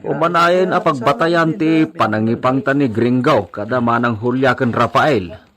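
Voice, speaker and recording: A man's voice: medium pitch, normal speed, fairly bright tone, close to an everyday voice. An actor playing a concerned, inquiring village man with an Ilocano accent in a radio drama. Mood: serious.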